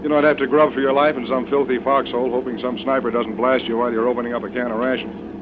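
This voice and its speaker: A steady American bomber colonel, acted by a man in vintage radio drama style. His delivery is crisp and dry, at a middling pitch. The sound is thin and phone-like, with clear engine noise. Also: fast talker, natural voice. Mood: reassuring. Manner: crisp, matter-of-fact command. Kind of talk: old-time radio drama acting with first-person narration.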